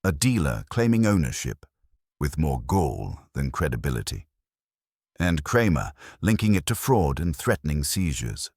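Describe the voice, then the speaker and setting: A man's voice: very deep voice, big pitch swings, unhurried, a little mellow, breathy edge, dry recording, studio quality. A man narrating a scripted first-person detective story in a wry, hard-boiled manner. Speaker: audiobook narrator.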